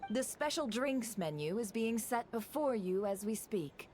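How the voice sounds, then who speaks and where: A woman's voice: on the high side, animated, a little slow, a little mellow, a little hiss or hum. A woman playing a poised, worldly society hostess in a video game, speaking in a formal, polished way. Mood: gracious, composed. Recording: music behind.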